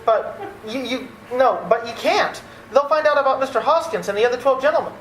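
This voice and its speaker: A woman acts out a frantic, protective nephew from an old-time radio play. The voice is fairly high and insistent, always flustered. There is room echo and faint noise. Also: brisk, lightly acted. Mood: exasperated, frantic. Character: harried, frantic young nephew, protective and exasperated. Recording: roomy, slightly noisy amateur recording.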